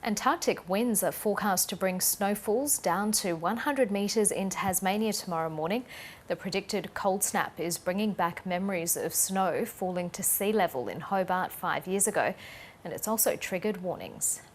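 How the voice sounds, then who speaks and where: A woman's voice: mid-range voice, moderate pace, a little hiss or hum. A woman with an Australian accent reading scripted news as a presenter.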